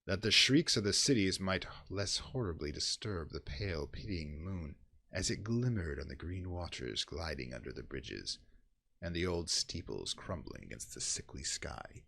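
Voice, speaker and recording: Man, low-pitched voice, theatrical horror narration, brooding, intense, hushed to loud swells, radio play reading. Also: expressive, normal speed, gravelly, husky. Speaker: reader of a horror story.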